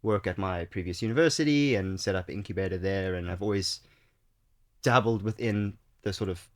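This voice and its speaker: A young man with a South African accent and a fairly low voice, speaking as a guest on a podcast. He talks in a relaxed, chatty way, full of fillers and restarts. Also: fairly quick. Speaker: guest, startup founder. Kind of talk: casual interview chat.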